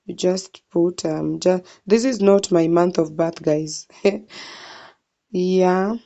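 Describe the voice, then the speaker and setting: A woman's voice: on the low side, slow pace, vocal fry, warm tone, muffled recording. A young Kenyan woman walking viewers through an online sign-up form, thinking aloud and calling them guys. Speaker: tutorial vlogger. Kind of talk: screen-recorded walkthrough.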